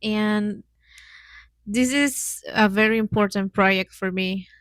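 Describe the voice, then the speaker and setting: A woman's voice: mid-range voice, fairly even intonation, slow pace, a bit bright, dry recording. Young Mexican woman podcasting in accented English. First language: Spanish. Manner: hesitant learner's English with frequent little giggles. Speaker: podcast host. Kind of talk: casual solo podcast talk.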